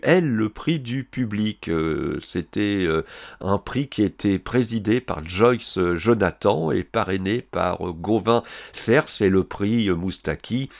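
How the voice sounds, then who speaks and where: A man hosting a radio show, introducing a guest and reading her background with frequent fillers. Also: on the low side. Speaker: radio host. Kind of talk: radio presenting.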